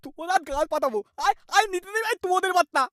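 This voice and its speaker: A woman's voice: extremely high pitch, lively intonation, racing, fairly bright tone, slight background noise. A woman voicing an indignant village husband in a cartoon, lisping and stammering as he blusters. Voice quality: lisping, t sounds for k. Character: indignant village husband with baby-talk lisp. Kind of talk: exaggerated cartoon dialogue.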